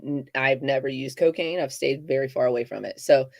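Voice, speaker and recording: Halting woman co-hosting a livestream. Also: very deep voice, vocal fry, no room sound. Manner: halting, many fillers and restarts. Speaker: podcast co-host. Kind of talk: casual livestream discussion.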